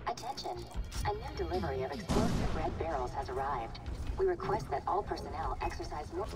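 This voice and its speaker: A woman's voice: low-pitched, big pitch swings, a little fast. A woman voicing a polite announcement AI in a video game, in public-address style. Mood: official. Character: polite female facility announcement AI. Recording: distant PA under loud music.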